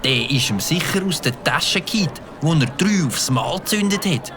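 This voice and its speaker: A man playing an eager, mischievous young boy with a Swiss German accent, excitably thinking aloud in a children's audio drama. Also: on the high side, normal speed, over music, natural voice. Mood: scheming. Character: Mike, eager mischievous young boy who loves firecrackers.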